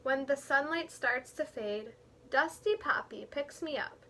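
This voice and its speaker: Bright-voiced woman teacher reading to children, unhurried. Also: sits high, a little hiss or hum. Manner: expressive, deliberate reading with simple explanations for children. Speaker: teacher reading a picture book to young children. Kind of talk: read-aloud storytelling with explanatory asides.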